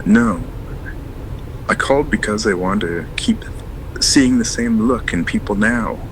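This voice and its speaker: Casting: male actor as quiet reflective caller, very low voice, clear background noise on the line. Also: expressive, unhurried. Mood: subdued. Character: subdued man caller, former horse-barn worker.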